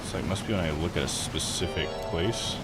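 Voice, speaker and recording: A man's voice: deep voice, a little fast. A man live streaming a visual novel, rambling and thinking aloud in casual commentary. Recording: game music behind voice. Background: video game music.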